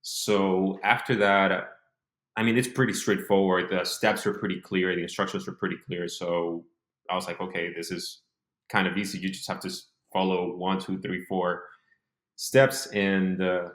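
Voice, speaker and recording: Interview guest: man, mid-20s, from Honduras. Low register, informal reflective delivery, roomy echo on the recording. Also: level tone, normal speed, fairly bright tone. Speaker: interview guest, business owner. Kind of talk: casual conversational interview.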